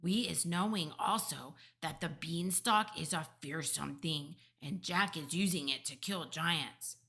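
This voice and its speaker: A woman voicing a gentle, cautious male giant in a storybook, explaining things in an urgent, hushed manner. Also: deep voice, measured pace, full character voice. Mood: hushed, earnest.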